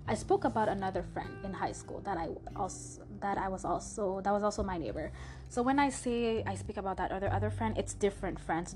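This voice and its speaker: Young French-accented woman telling a story. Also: mid-range voice. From Canada (Montreal). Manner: chatty, frequent fillers and restarts. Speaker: podcast host. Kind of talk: personal storytelling monologue.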